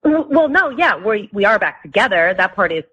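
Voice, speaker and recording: A woman's voice: mid-range voice, sing-song, normal speed, sharp tone, slightly rough, dull audio. A woman in a guarded phone conversation, answering in a curt, defensive way. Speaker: person phoned by a radio show.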